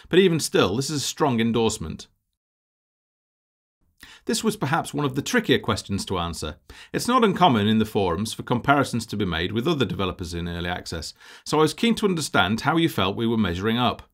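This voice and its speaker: A man narrating a scripted presentation as a project lead, in a measured, formal, businesslike way. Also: medium pitch, a bit bright.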